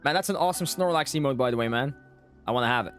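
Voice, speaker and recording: A man's voice: mid-range voice, very fast, fairly bright tone. A man streaming a game and chatting casually and wryly with viewers in short, informal reactions. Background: game music.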